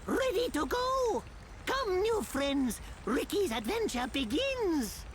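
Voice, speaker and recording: A woman's voice: very high-pitched, big pitch swings, slow pace, slight background noise, heavily acted. A woman voicing a small, furry, cheerful male creature in a video game, childlike and eager, exclaiming in broken third-person speech. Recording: music behind the voice.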